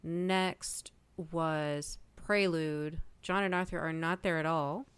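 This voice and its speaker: A woman with a smooth, slightly low voice talks through her ideas at a slow pace. She is thoughtful and speculative, like a panelist on a casual fan podcast. The recording is close and dry. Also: fairly even intonation, no echo.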